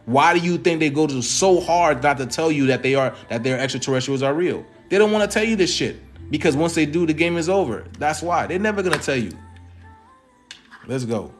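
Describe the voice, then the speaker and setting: Haitian guy ranting casual commentary, repeats himself a lot, soft music playing. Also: fairly high pitch, animated, brisk. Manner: emphatic, repetitive, slangy. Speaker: host reacting to a clip.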